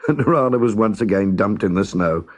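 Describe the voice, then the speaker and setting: A man narrating a scripted children's story as a storyteller, expressive, measured and dramatic. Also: fairly low pitch, breathy edge.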